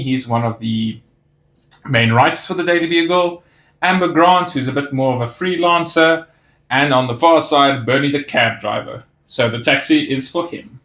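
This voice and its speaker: A man's voice: mid-range voice, a bit bright. A man narrating a casual toy set review, pointing out details with easy enthusiasm. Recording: roomy echo on the voice.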